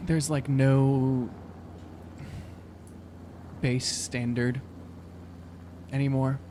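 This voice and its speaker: A man playing a tabletop game, improvising in a casual, halting way as he searches for words. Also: medium pitch, little pitch movement, warm tone, a little hiss or hum. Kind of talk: casual improvised role-play chat.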